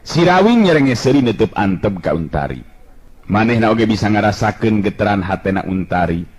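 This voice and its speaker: A man narrating a folk tale as a storyteller, in a brisk, measured way. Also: fairly low pitch, very animated, a little mellow, husky.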